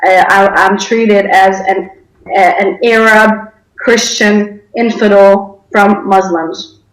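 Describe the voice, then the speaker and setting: A young woman from Denmark tells of personal experience in an interview. Her voice sits at an average pitch and her delivery is hesitant and halting. The room around her is clearly echoey. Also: steady tone. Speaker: interview guest. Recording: echoey, roomy sound.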